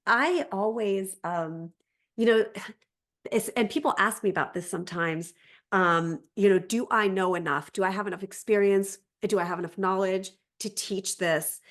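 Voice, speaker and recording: A woman's voice: mid-range voice, moderate pace. A woman speaking as a language teacher and interview guest, explaining conversationally and often circling back over her phrasing.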